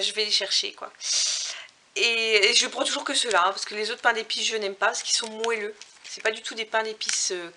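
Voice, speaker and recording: A woman's voice: medium pitch, background noise. A young woman from Alsace chatting casually to camera, reviewing food products with lots of fillers and self-corrections. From Alsace, France. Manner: reads out ingredient lists. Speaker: vlogger reviewing finished products.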